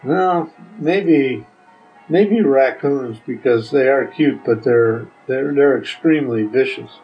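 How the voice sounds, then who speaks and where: Older male guest, mid-pitched, plain-spoken and hesitant, heard on slightly muffled audio. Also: slow pace, faint music behind. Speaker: podcast guest. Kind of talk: answering interview questions casually.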